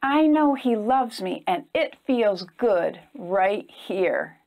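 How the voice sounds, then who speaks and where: A woman reading a storybook aloud to young children in an expressive storytime style. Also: mid-range voice, slow pace. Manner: expressive, simple phrasing aimed at young children. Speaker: children's storytime reader and host.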